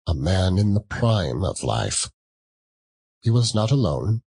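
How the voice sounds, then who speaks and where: A man narrating a classic story as an audiobook, in an expressive, deliberate and theatrical style. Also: sits low, vocal fry, breathy edge.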